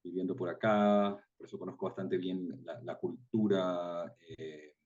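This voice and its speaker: A man's voice: low-pitched, little pitch movement, measured pace, a little mellow. A middle-aged man with an Ecuadorian accent talks casually as an interview guest, explaining with examples. His voice sits away from the microphone and sounds a little dull. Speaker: interview guest, tour guide. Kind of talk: casual interview, personal anecdotes. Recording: distant mic, slightly muffled sound.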